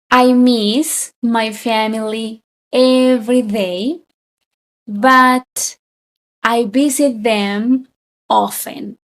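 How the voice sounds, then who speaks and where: A woman in her thirties from Colombia teaching a beginner English lesson, speaking slowly and deliberately and repeating each phrase. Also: a little high. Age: 30s. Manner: very slow, deliberate, with frequent repetition.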